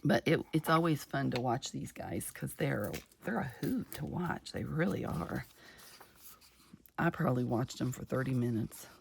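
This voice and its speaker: A middle-aged woman chatting casually while crafting, her voice a little gravelly from just waking up, rambling warmly from one story to the next. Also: very deep voice, lively intonation, a little slow, a little hiss or hum. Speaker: craft vlogger.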